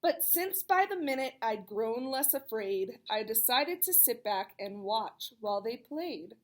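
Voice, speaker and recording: Woman, high voice, picture book read-aloud, expressive storytelling, chatty explanatory asides. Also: unhurried.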